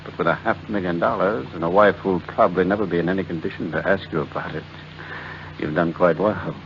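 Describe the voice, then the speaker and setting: An actor playing a sardonic, scheming accomplice in a 1940s radio drama. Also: male voice, deep voice, fairly even intonation, fairly quick. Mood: sardonic, calculating. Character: smooth-talking, sardonic male accomplice, a scheming scoundrel. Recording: muffled 1940s radio recording with hiss.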